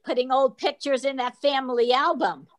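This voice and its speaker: A woman's voice: high voice, fairly even intonation, normal speed, natural voice. A woman playing a grumbling, skeptical female relative in a reader's theatre comedy. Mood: exasperated, sarcastic.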